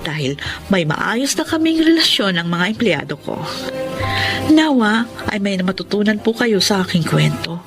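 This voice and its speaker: A woman's voice: medium pitch, very animated, normal speed, music in the background. A woman playing a kind, generous office boss in a Filipino radio drama, with a Filipino accent. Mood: reflective. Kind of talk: Filipino radio drama acting and first-person narration.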